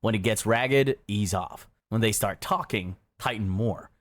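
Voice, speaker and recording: A man reading scripted second-person narration in a measured, matter-of-fact way. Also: lowish voice.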